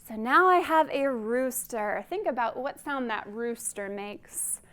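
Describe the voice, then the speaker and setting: A woman with a high voice leads a storytime for young children. She speaks slowly and deliberately, with a singsong delivery, like a librarian guiding small listeners through a tale and its questions. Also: animated, fairly bright tone.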